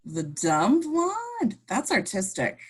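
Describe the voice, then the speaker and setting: A woman's voice: on the high side, huge pitch swings, takes it slowly, slightly rough, full character voice. A woman playing a sardonic, lecherous male control-room boss in a film script, with a showy drawl. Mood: sly, sardonic.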